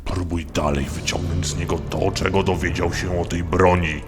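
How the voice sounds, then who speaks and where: A man playing a commanding, impatient orc warlord in a fantasy audio drama, gruff, curt and domineering. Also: sits low, little pitch movement, normal speed, lightly acted. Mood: stern, commanding. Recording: long hall reverb, loud music underneath. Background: dramatic music.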